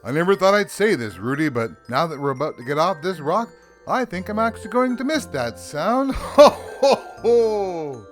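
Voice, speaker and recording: Audio drama Santa: weary, jolly boss turned rebel, indignant and theatrical, highly animated delivery. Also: male voice, normal speed, faint music behind. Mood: wistful, jolly. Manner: theatrical, grumbling.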